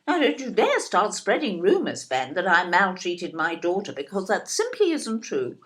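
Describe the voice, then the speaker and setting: A woman's voice: fairly low pitch, huge pitch swings, moderate pace. A woman with a British accent playing a snobbish, self-absorbed older wife and mother in an audio play, genteel, fussy and disparaging. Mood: indignant.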